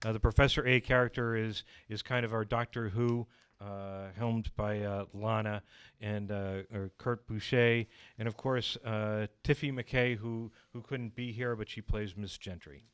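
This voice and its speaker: A man speaking as an interview guest, grateful and halting, often repeating words as he goes. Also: lowish voice, steady tone, a bit bright, clean tone. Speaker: guest, radio-drama creator and writer. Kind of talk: interview talk.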